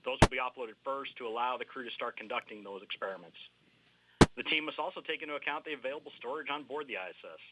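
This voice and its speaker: A man giving a measured, technical explanation as a space program manager in a broadcast interview. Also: medium pitch, very noisy.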